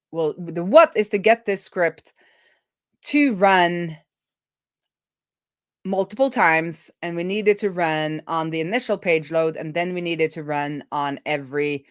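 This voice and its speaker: A middle-aged woman host talking informally through live coding, thinking aloud and often halting as she works things out. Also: low-pitched, dry recording, studio quality. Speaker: host of a web development live stream. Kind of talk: casual live coding commentary.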